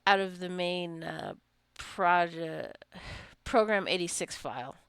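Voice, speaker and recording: Smooth-voiced woman chatting over gameplay. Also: lowish voice, slow pace, fairly bright tone, no echo. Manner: rambling, chatty asides. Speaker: gaming video creator. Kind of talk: casual gameplay commentary.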